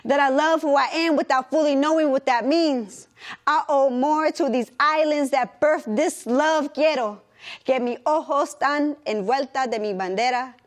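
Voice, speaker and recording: High-voiced young woman performing spoken word. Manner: rhythmic, switching between English and Spanish. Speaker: performance poet.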